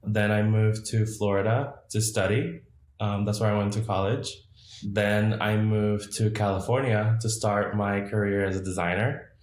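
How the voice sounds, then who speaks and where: Man from Puerto Rico, lowish voice, casual interview guest, hesitant, echoey room. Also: steady tone, normal speed. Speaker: podcast guest, designer.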